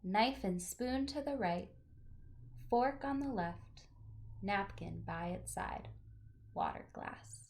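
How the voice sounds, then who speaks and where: A woman teacher reading a picture book aloud to young children, with deliberate phrasing and chatty asides to her listeners. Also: mid-range voice, big pitch swings, gravelly, a little hiss or hum.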